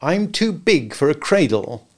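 A man putting on the tiniest boy in a storybook, high and sharp, snapping his answers out. Loud and cheeky. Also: big pitch swings, measured pace. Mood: snappy. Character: smallest boy, snappy and sly.

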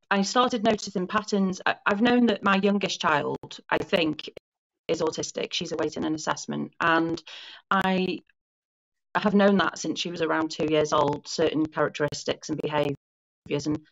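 A woman in her forties with a lowish voice talks through her experiences in a measured, thoughtful way, pausing and correcting herself as she goes. The sound is dull and lo-fi, like audio from a remote call. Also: steady tone, vocal fry. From Wales. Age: 40s. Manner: thoughtful, explains at length with small restarts and self-corrections. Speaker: guest. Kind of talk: casual conversational interview. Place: home lounge.

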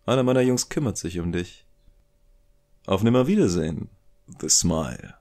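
A man's voice: sits low, expressive, normal speed, dark tone. A man playing a stern, threatening Italian mafia don and contemptuous father in an audio drama. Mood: smug menace.

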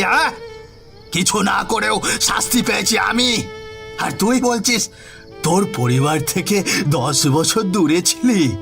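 Vengeful ghost man for a horror cartoon, high creaky voice, stretches out his taunts, gloating and menacing. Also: full character voice. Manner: drawn-out taunting speech with maniacal laughter. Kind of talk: animated horror story acting.

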